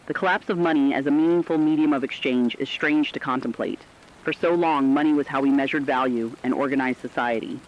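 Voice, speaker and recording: A man's voice: mid-range voice, lightly acted. Solemn apocalypse radio commentator played by a man, lo-fi. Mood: solemn, reflective. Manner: earnest, philosophical, deliberate. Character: solemn, reflective male radio commentator addressing apocalypse survivors. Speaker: radio co-host. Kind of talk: scripted reflective radio commentary.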